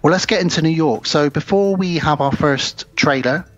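A man's voice: a little high, normal speed, a bit bright, no echo. Rambling enthusiastic podcast man, dull audio. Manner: rambling, enthusiastic, many ums. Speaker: podcast co-host. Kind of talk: casual film chat.

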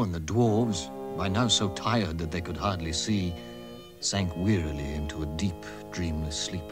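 A man narrating a dramatised fantasy tale as a measured, grave storybook narrator. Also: low-pitched. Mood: hushed, grave. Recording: loud music under the voice.

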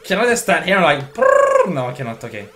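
Brief: young drunk Swedish man, giggly rambling gamer, high bright voice that swings a lot. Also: huge pitch swings, fairly quick, slight background noise. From Sweden. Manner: rambling, giggly, slurring and restarting words. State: voice going hoarse. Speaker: livestream gamer. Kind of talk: casual drunk gameplay commentary.

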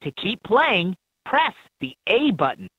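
A woman's voice: mid-range voice, big pitch swings, unhurried, breathy edge, no echo. A woman voicing an encouraging instructor in a children's animal game, giving scripted instructions in slightly dull game audio. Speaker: educational game voice.

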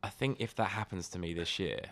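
A man's voice: low voice, brisk, fairly bright tone. A British man co-hosting a podcast with casual, sweary, incredulous comic banter. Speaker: podcast co-host.

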